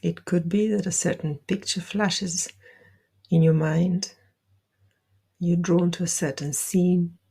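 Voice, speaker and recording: A woman guides a meditation in a low, dark voice with a creaky edge. She gives gentle instructions in soft, breathy phrases, unhurried and deliberate. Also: fairly even intonation.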